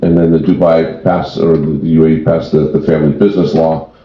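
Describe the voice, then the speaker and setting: A man's voice: extremely low pitch, level tone, a little fast. A man speaking off the cuff as an expert panelist, explaining at length and often restarting his phrases. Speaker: tax and wealth planning founder, panelist. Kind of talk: extemporaneous panel discussion. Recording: very echoey room, dull sound.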